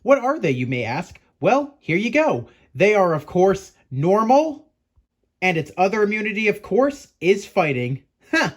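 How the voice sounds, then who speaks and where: A man with a somewhat high-pitched voice presents a casual explainer. He reads scripted material conversationally, as if chatting with the viewer. He likes to pose a question and then answer it himself. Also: sing-song, a little slow. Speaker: host of a Pokemon explainer show.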